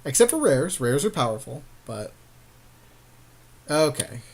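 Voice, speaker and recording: A man's voice: medium pitch, very animated, measured pace, vocal fry. A man with a wry, joking, slangy way of talking, co-hosting casual gameplay commentary alongside a friend. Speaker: podcast co-host playing an online card game.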